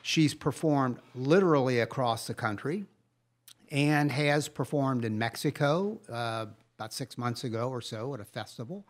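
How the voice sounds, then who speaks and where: A man's voice: mid-range voice, moderate pace. Enthusiastic middle-aged man co-hosting. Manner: enthusiastic, rambling storyteller. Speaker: podcast co-host. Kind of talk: casual chat, introducing a guest co-host. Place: live podcast recording at a coffee parlor.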